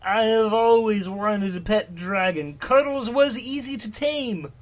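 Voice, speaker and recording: A man voicing a jolly, secretive giant groundskeeper in a hearty, drawn-out way for a comic story reading. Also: high-pitched, voice pushed up. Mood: eager, sheepish. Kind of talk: comedic dramatic reading of fan fiction.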